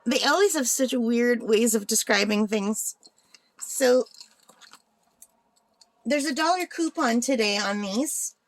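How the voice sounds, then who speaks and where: High-voiced woman, casual craft vlogger. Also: slow pace, pauses often, slight background noise, poor audio. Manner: chatty, informal, thinking aloud. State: slightly unwell, voice tiring. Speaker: craft channel host. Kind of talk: casual unboxing vlog chat.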